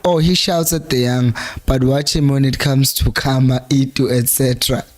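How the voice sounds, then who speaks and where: A South African man hosting a fan live stream, talking casually about football, laughing often, his voice going hoarse. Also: medium pitch, a little mellow. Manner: chatty, laughs often, switches between languages mid-sentence. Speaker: fan channel host.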